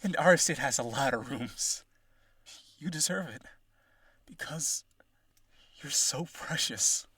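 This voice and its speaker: A man's voice: on the high side, expressive, slow pace, pauses often, fairly bright tone, husky, no echo, studio quality, full character voice. A man playing a rich, devoted vampire aristocrat in a roleplay audio, earnest and pleading, with gushing, hesitant speech. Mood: devoted, coaxing.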